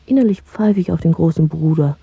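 An actress playing a defiant, sensual young woman in an intimate radio drama, coaxing and irreverent in a hushed voice. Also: deep voice, brisk, creaky, breathy edge, no room sound, narrow, tinny audio, exaggerated voice.

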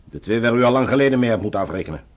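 A man's voice: medium pitch, expressive, very fast, lo-fi sound, natural voice. A man playing a cold, suspicious Martian supreme leader in a Dutch science fiction radio drama, curt, sarcastic and commanding. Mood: curt, menacing.

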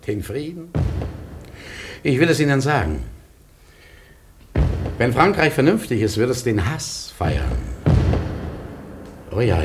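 Radio drama, male: proud, embittered robbery victim, intense and declamatory, lowish register, very animated pitch. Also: background noise. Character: embittered robbed emigrant jurist, proud, haunted, vengeful man. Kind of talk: German radio play acting.

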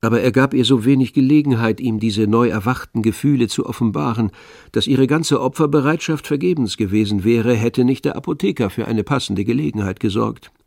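A man's voice: mid-range voice, a little fast, vocal fry, darkish tone, no echo, clean studio sound. A man narrating a literary radio play from a script. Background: faint instrumental music.

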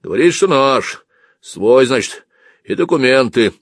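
Guy doing a gruff blunt village soldier for an audiobook, countrified talk, voice pushed up, dry sound. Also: medium pitch, expressive, a little slow, no room sound, voice pushed up. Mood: insistent. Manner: rustic colloquial speech, blunt. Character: gruff folksy peasant soldier on sentry duty.

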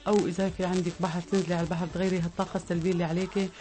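A woman's voice: on the low side, flat delivery, rapid-fire, dark tone. A woman with a Lebanese accent working as an astrologer, giving readings to callers live on radio. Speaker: astrologer taking listener calls.

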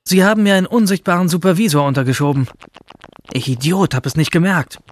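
A man's voice: fairly high pitch, fast talker, close to an everyday voice. An actor playing an obsessive, rebellious male hacker from a virtual future world, narrating a radio drama in the first person. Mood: self-reproachful. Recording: music under the voice.

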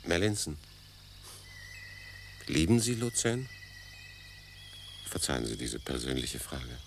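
Composed gentleman, radio play: deep voice, tactful, faint ambience behind. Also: big pitch swings, slow pace, a little hiss or hum, exaggerated voice. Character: calm, tactful English gentleman. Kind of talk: radio drama acting.

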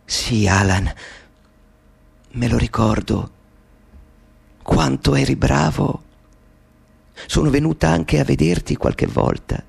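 An actor playing a gentle, loving mother who speaks softly and slowly to her son in a radio play. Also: mid-range voice, fairly even intonation, creaky, slightly rough, full character voice. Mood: fond. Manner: soft, slow, soothing.

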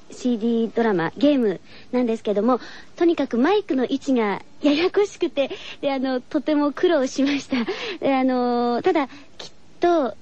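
A lo-fi, slightly dull recording of a woman voice actress being interviewed. Her high, mellow voice is careful and courteous, with a modest way of presenting herself. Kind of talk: promotional interview comment.

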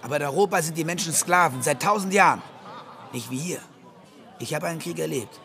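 A man's voice: fairly high pitch, fairly quick, creaky, sharp tone, a faint crowd. A man playing a worldly, easygoing immigrant barman in a radio drama, laconic and amused. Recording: music behind the voice.

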